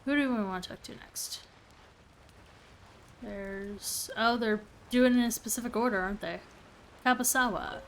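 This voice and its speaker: A woman's voice: fairly high pitch, moderate pace, long pauses, a little hiss or hum, low-quality recording. A woman streamer giving casual live gameplay commentary in short asides, sometimes reading game lines aloud. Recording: game music behind the voice.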